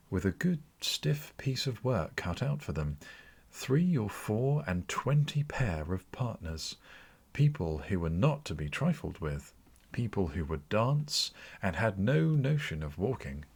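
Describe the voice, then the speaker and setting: A man narrating classic fiction for an audiobook in an expressive, theatrical storytelling manner. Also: lowish voice, slow, creaky, husky.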